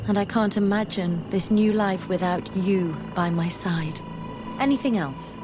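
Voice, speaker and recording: Earnest young scholar lady voiced by an actress for a video game, measured n bookish, bit of music behind. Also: mid-range voice, natural voice. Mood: thoughtful, hopeful. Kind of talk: video game dialogue acting.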